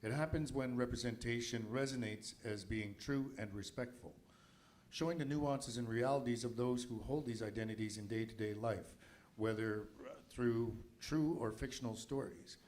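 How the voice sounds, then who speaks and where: A man's voice: medium pitch, moderate pace, darkish tone. A man from Nova Scotia moderating a film festival panel in a loose, chatty way with many fillers. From Nova Scotia, Canada.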